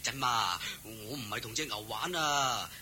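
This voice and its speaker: A man with a Hong Kong Cantonese accent playing a simple, playful, eager young farm servant in a radio drama, chattering excitedly and stumbling over words. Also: mid-range voice, moderate pace. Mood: sheepish, apologetic. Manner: excitable, stammering, deferential chatter. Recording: hissy old radio drama recording.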